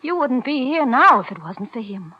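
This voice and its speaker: A woman's voice: high voice, big pitch swings, normal speed, breathy edge, exaggerated voice. An actress playing an anxious, pleading young girlfriend, earnest and emotional, in 1940s radio thriller style. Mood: worried. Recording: dull vintage radio recording.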